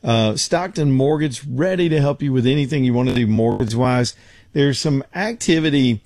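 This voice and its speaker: A man hosting a talk radio show, hoarse and rambling, with lots of fillers and restarts. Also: mid-range voice, moderate pace, a little mellow, no echo, clean studio sound. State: getting hoarse. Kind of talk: casual talk radio with live read ads.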